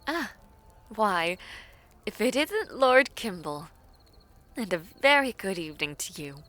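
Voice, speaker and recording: A woman playing a poised, teasing, affectionate villainess princess in a fantasy romance audio roleplay, with formal courtly phrasing and a breathy, often near-whispered delivery. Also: mid-range voice, sing-song, slow pace, sharp tone, full character voice. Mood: gracious, sociable.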